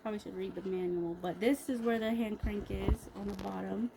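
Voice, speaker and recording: A woman giving a casual, improvised unboxing review, pausing mid-sentence in an appreciative, unhurried way. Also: medium pitch, steady tone. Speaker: product reviewer. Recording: clearly noisy background ambience.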